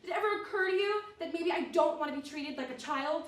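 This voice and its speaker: A woman's voice: very high-pitched, quick pace. A woman acting as a fed-up housewife in an amateur stage play, emotional and defiant. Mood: exasperated, defiant. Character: fed-up housewife standing up to her husband. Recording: echoey stage from far off, faint music.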